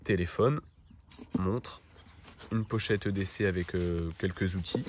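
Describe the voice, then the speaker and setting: Low-voiced man, casual and halting. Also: a little mellow, a little hiss or hum. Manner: hesitant, halting, informal delivery. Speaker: video host presenting everyday-carry gear. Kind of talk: casual product presentation to camera.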